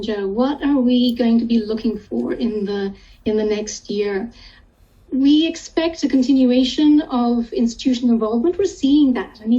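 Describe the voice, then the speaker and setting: A woman speaking as a guest analyst in a business TV interview, polished and brisk. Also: a little high, warm tone, lo-fi sound.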